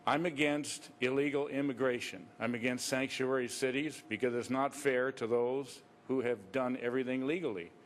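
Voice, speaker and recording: A man's voice: medium pitch, fairly even intonation, normal speed, few pauses, slight background noise. A man, a preacher, making an extemporaneous political comment at a public meeting in an emphatic, disapproving manner.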